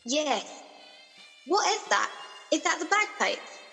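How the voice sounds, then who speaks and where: A high voice with long reverb and some music, that of an inquisitive time-travelling radio presenter, played by a woman. The delivery is plain-spoken and questioning, in comic radio play style. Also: sing-song, slow, vocal fry, sharp tone, heavily acted. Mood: curious.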